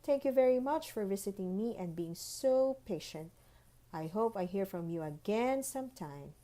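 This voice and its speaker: A woman's voice: medium pitch, animated, normal speed, dark tone, close to an everyday voice. A woman reading the letters of a polite, clever little girl in an earnest, chatty storybook manner. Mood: warm, grateful.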